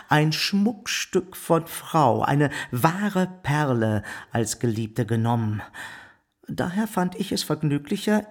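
A wealthy, lecherous bourgeois suitor for a literary radio play. His husky voice sits a little high, and he flatters and patronizes with pompous self-satisfaction. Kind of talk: literary radio drama acting.

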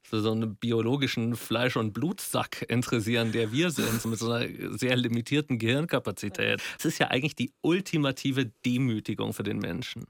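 A man's voice: mid-range voice, a little fast, vocal fry, hardly any pauses, no room sound. A man talks reflectively and colloquially, in long, wordy turns of conversational discussion.

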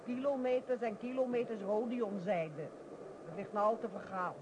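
An actress playing a middle-aged, sharp-tongued wife in a radio play, scolding her husband with exasperated sarcasm. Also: on the high side, normal speed, lo-fi sound, lightly acted. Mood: scornful sarcastic. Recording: noisy old radio play recording.

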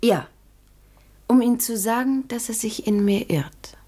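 A woman playing a young, quietly resolute courtesan in a radio play, speaking with earnest restraint. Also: fairly high pitch, measured pace, close to an everyday voice. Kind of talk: 1970s German radio play acting.

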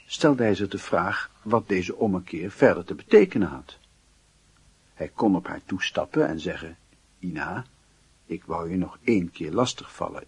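A man's voice: sits low, husky, no echo, exaggerated voice. A man narrating a literary radio drama in a solemn, introspective, dramatic manner. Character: solemn storyteller of a lovesick youth's inner life.

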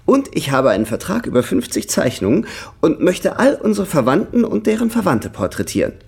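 An actor playing a cheerful, carefree bohemian artist of almost thirty in a German radio drama, buoyant, teasing and husky. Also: male voice, on the high side, huge pitch swings, brisk, darkish tone, full character voice. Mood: cheerful, fond.

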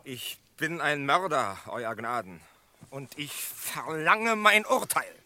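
Sharp, unyielding Western outlaw. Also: male voice, a little high, sing-song, full character voice. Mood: insistent. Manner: deliberate, insistent, solemn. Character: stern, unyielding male Western outlaw demanding his sentence. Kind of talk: German radio play acting.

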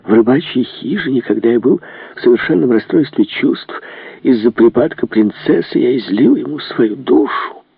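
Man acting an agitated, blustering prince, husky. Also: medium pitch, lively intonation, moderate pace, no echo, phone-quality sound, voice pushed up. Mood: anguished. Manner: exclamatory, rambling, swinging between bluster and anguish. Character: agitated, irritable older prince, blustering and fretful. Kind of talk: dramatised audiobook character reading.

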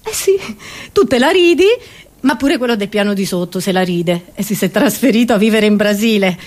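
A woman performing a theatrical, satirical comedy monologue, expressive and ironic, with rhetorical asides to the audience. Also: medium pitch, brisk. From Italy.

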